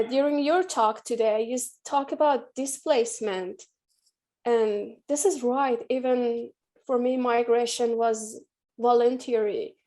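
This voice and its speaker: A middle-aged woman from Iran, an artist, speaking English as an in halting, emotional panel talk. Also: fairly high pitch, warm tone. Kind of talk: panel conversation.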